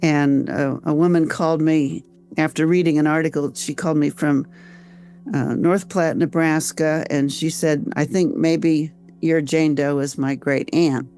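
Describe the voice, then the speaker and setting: An older woman with an American accent, telling her own story conversationally as an interview guest, reflective and halting, repeating phrases as she goes. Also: low voice, fairly even intonation, a little mellow, faint music behind. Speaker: interview guest, author and volunteer researcher.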